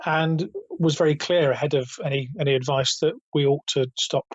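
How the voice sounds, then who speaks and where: Interview guest: raspy, slightly high-pitched man in his fifties, halting delivery with restarts, dry muffled call audio. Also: steady tone, no room sound. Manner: hesitant, many restarts, chuckles between points. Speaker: guest, retail author and company founder. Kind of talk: casual interview over a call.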